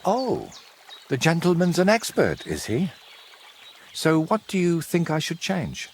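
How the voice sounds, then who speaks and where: An actor playing a brooding, secretive medieval painter in a historical video game, wry, teasing and evasive. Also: male voice, on the high side, huge pitch swings, unhurried, a little hiss or hum, exaggerated voice. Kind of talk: dramatic dialogue in a cinematic historical game.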